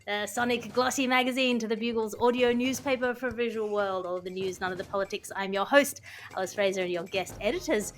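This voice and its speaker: A woman's voice: medium pitch, brisk, slight background noise. A woman hosting a satirical news podcast, speaking in a wry, wordy, ironic way between script and banter. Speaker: podcast host.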